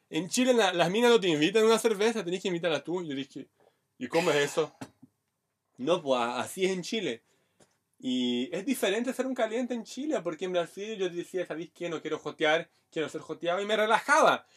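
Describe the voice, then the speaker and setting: A man's voice: sits high, animated, a little fast. A man in his thirties from Brazil with a Brazilian accent, co-hosting a podcast in casual chat and telling frank stories full of Chilean slang. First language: Portuguese. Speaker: podcast co-host.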